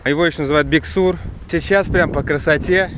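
A man's voice: a little high, a little fast, a bit bright. Young male travel vlogger, offhand joking delivery, windy outdoor camera audio with boat noise behind. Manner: joking, loose slangy commentary. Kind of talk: casual travel vlog chat. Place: outdoors on a windy waterfront and pier.

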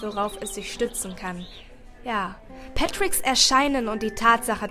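A woman reads a first-person story in an expressive storytelling style. Her voice sits on the high side. Music plays softly behind her. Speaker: audiobook narrator. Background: background music.

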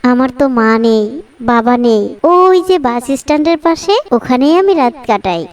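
A woman's voice: high-pitched, animated, moderate pace, smooth. A woman playing a poor, cheerful orphan girl in a children's moral cartoon, speaking plaintively and earnestly.

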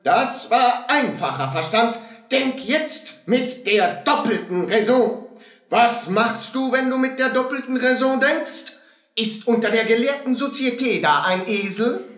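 High-pitched, pompous fairground showman. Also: lively intonation, measured pace, a bit bright, echoey room, exaggerated voice. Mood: showy, grandiose. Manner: bombastic barker addressing a crowd, broken grammar. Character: pompous fairground showman presenting a learned horse. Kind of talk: German stage radio play acting.

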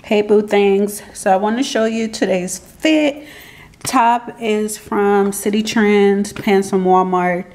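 A woman in her thirties with a faint Jamaican accent, talking casually to her camera in a slow, relaxed, chatty way. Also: mid-range voice, reverberant. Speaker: vlogger. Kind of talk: casual vlog chat. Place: living room indoors, then a parked car.

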